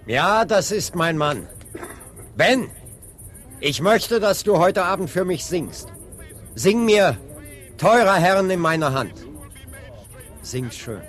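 A man's voice: high-pitched, big pitch swings, fairly bright tone, faint music behind, heavily acted. An actor playing an earnest civil rights preacher in his late thirties in a German radio drama. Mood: warm, cheerful. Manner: measured, deliberate oratory when preaching. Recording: long hall reverb.

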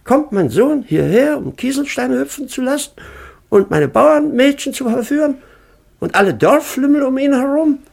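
Flustered pompous baron, gravelly and husky. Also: male voice, very high voice, lively intonation, moderate pace, a little mellow, full character voice. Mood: flustered outrage. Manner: blustering, exasperated. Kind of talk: German radio play acting.

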